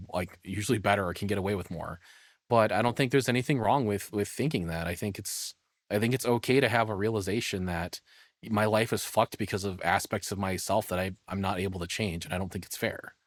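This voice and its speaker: A man's voice: on the low side, brisk, dry recording. Blunt, sweary man reacting, very dry sound. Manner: blunt, profane, opinionated; rambling, thinking aloud. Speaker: streamer reacting to a video. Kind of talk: casual live reaction commentary.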